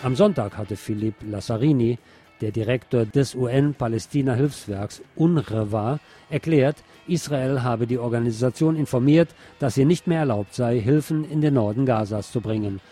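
Mellow man reading scripted radio news. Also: medium pitch, normal speed, faint music behind. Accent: standard German.

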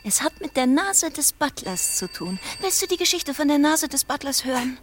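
An actress playing a flighty, theatrical young wife in a German radio play, affected and gushing, repeating phrases for effect. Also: female voice, high voice, big pitch swings, moderate pace, slight background noise. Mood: teasing, effusive. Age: young adult.

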